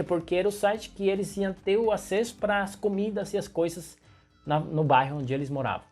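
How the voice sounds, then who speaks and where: Husky man with a Venezuelan accent. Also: on the high side. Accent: Venezuelan Spanish. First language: Spanish. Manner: emphatic, talks straight to the viewer. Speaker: political commentator. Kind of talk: explanatory political commentary.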